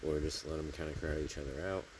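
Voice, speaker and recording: A man's voice: rumbling low, flat delivery, quick pace, noisy background. A man casually narrating hands-on garden work outdoors, rambling and thinking aloud as he goes, recorded on a handheld camera. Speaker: gardening vlogger.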